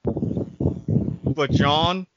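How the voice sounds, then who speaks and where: A man's voice: fairly high pitch, very slow, no echo. A man chatting casually as a panelist on a podcast. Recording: dull, lo-fi close sound.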